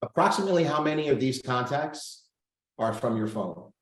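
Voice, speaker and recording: A man's voice: medium pitch, expressive, normal speed, fairly bright tone, echoey room, rough recording. A man hosting a casual group coaching call over video, upbeat, thinking aloud and reading out figures. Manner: upbeat, reads out numbers, thinks aloud while clicking.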